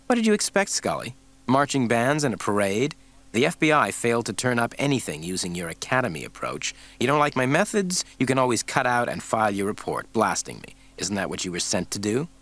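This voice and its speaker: A man's voice: medium pitch, big pitch swings, a little fast, a bit bright. A man voicing a cocky male federal agent who speaks mildly and wryly. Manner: mild, wry, cocky.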